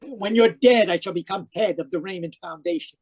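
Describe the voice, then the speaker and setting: High-voiced, desperate zealot played by a man. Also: brisk, darkish tone, breathy edge. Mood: grim, fanatical. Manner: fervent, pleading, intense. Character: obsessed, desperate zealot younger brother turned killer. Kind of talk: old-time radio mystery acting, dramatic narration. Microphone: home microphone on a video call.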